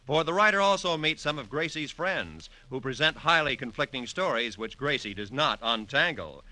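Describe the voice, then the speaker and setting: A man's voice: medium pitch, lively intonation, moderate pace, pushed and bright, low-quality recording. A male announcer giving a polished, formal, emphatic promotion in the style of 1940s radio.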